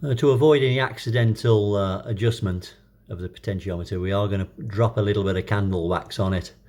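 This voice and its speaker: A man gives a casual hands-on demonstration, thinking aloud in an unhurried, hesitant way. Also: lowish voice, darkish tone. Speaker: hobbyist video presenter.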